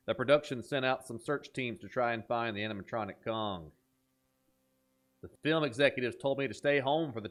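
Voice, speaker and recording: A man hosting a horror reaction video, talking casually and enthusiastically, joking around and reading captions aloud. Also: mid-range voice. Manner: animated, jokey, enthusiastic.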